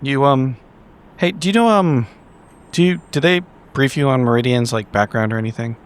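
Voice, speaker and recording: A man playing a blunt, awkwardly friendly female investigator in an improvised tabletop roleplay, talking casually and haltingly. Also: medium pitch, clean tone, natural voice. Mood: awkward, helpful.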